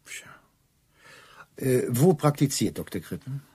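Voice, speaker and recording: An actor playing a polite, probing London police inspector who questions with murmured, hesitant insistence in a radio play. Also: male voice, mid-range voice, animated, a little slow, dark tone, breathy edge. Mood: quietly insistent. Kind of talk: German radio drama dialogue.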